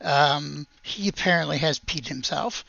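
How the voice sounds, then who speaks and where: A woman's voice: low voice, measured pace, creaky, fairly bright tone, no room sound. Woman game master, hesitant improvised storytelling, very dry but slightly dull recording. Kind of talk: casual improvised narration of a tabletop game.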